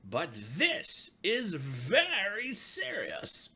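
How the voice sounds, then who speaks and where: A woman's voice: mid-range voice, wildly swooping pitch, extremely slow, pushed and bright, husky, pitched above the performer's own voice, exaggerated voice. A woman voicing indignant, bossy pond frogs from a children's storybook in an exaggerated, exclaiming way. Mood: grave.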